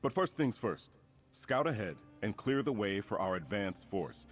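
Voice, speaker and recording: An actor playing a grave, resolute military leader in a fantasy game, speaking formally and earnestly with a commanding manner. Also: male voice, on the low side, big pitch swings, unhurried. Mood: somber, resolute. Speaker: quest-giving game character. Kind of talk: scripted fantasy game dialogue. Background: music.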